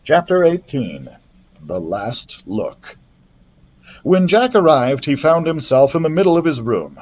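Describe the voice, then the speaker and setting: A man's voice: on the high side, big pitch swings, a little slow, darkish tone, muffled recording. A man reading a children's book aloud as a librarian storyteller, friendly and chatty, into a built-in laptop mic.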